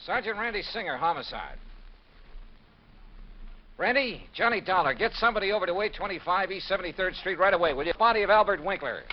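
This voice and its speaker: An actor playing a brisk, wry, impatient insurance investigator, clipped and dry, in the style of 1950s radio detective drama and narration. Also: male voice, on the high side, background noise. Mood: brisk, urgent. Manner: clipped, dry, exasperated with others. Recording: muffled old radio recording. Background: music.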